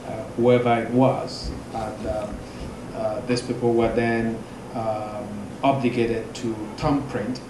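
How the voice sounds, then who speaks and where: A man with a Nigerian accent speaks slowly and deliberately, pausing on frequent fillers as he lectures. The sound is roomy and reverberant, with clear background noise under him. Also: mid-range voice. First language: Igbo. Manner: measured, halting, many fillers. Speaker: guest speaker. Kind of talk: public lecture. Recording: echoey room with noise.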